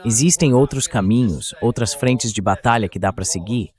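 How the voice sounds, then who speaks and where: A man's voice: fairly low pitch, moderate pace, a little mellow. A man with a synthetic dubbed voice, putting questions as a political interview host. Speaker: talk show host.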